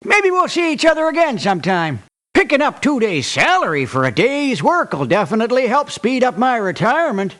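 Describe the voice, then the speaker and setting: A man's voice: very high-pitched, sing-song, brisk, bright, forceful tone, full character voice. A man voicing a crusty, condescending old war veteran mercenary in a video game, with a folksy, patronizing delivery. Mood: dismissive, grumbling. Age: older. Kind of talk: video game character voice lines.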